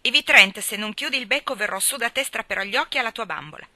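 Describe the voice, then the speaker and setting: A defiant little boy from a storybook, performed by a woman narrator, forceful and sharp. He blusters and threatens with a quick temper, his pitch pushed upward. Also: dry recording. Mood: furious, threatening.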